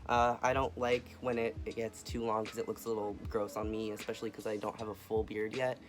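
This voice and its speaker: A young man in a casual video update, talking earnestly and softly with many ums and uhs. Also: lowish voice, flat delivery, brisk, over music. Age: young adult. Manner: soft-spoken, earnest, with frequent fillers. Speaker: vlogger.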